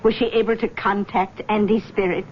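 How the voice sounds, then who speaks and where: An actress playing a brisk, insistent middle-aged mother in a radio drama, matter-of-fact and pressing. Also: mid-range voice, fairly even intonation, faint music behind, close to an everyday voice. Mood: pressing, curious. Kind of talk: 1970s radio drama acting. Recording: muffled, noisy old radio recording.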